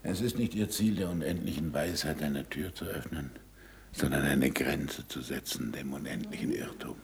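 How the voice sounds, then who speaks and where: An actor playing a shrewd, commanding scientist and teacher in a German radio play, ironic and didactic. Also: male voice, very deep voice, moderate pace, vocal fry, slight background noise. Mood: solemn. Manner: didactic, probing questions, sardonic. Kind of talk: German stage-style radio play acting.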